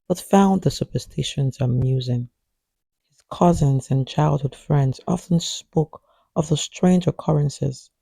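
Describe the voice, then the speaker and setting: A man tells a scary folktale in a very dark, mellow voice with a husky grain. He reads as a storyteller, grave and suspenseful, taking his time over every phrase. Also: mid-range voice, no echo. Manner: slow, grave, suspenseful delivery. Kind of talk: scripted narration of a horror folktale.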